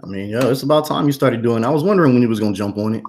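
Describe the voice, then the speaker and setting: A man's voice: mid-range voice, rapid-fire, a little mellow, a little hiss or hum. A man in his thirties hosting a casual, slangy livestream chat from a room at home over a webcam. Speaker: show host, entrepreneur. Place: dim room at home, indoors. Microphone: webcam video call.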